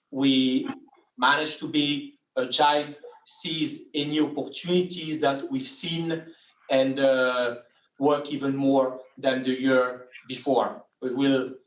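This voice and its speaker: A man introducing his firm on a panel, speaking hesitantly with many fillers from an office. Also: medium pitch. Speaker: panelist, private jet booking executive. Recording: roomy sound from a distance.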